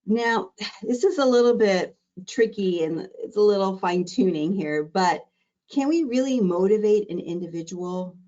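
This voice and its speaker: A middle-aged woman, a diabetes educator, teaching an exam-prep webinar in a warm, conversational, encouraging way. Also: medium pitch, animated, a little slow, lo-fi sound. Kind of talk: live online exam-prep lesson.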